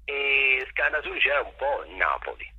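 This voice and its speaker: A raspy-voiced man explaining his work reflectively as a theatre director in an interview, halting and repeating phrases as he searches for words. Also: medium pitch, sing-song, no echo. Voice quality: gravelly, raspy. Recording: dull lo-fi radio or telephone sound.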